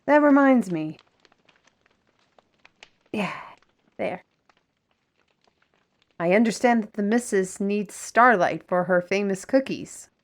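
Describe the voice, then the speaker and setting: A woman's voice: medium pitch, expressive, slow, pauses often. Woman playing a proud, headstrong fantasy apprentice. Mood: composed. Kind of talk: audio fantasy drama acting.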